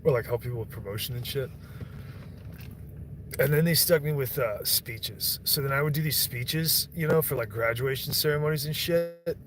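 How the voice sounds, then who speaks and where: A man's voice: medium pitch, moderate pace, fairly bright tone, slight background noise. A young man on a stream, rambling and swearing in casual chat, often breaking off and restarting his sentences. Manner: rambling, profane, self-interrupting.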